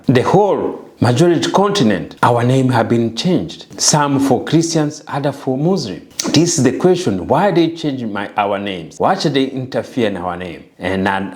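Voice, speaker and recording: A middle-aged man from Rwanda giving an earnest, emphatic personal message straight to the viewer and repeating his phrases for weight. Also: on the low side. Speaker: vlogger.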